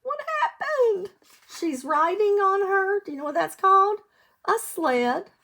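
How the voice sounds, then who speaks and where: An American woman reading a storybook aloud to young children as a teacher would, in an expressive sing-song way, asking them questions. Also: extremely high pitch, unhurried. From United States, lived in West Virginia.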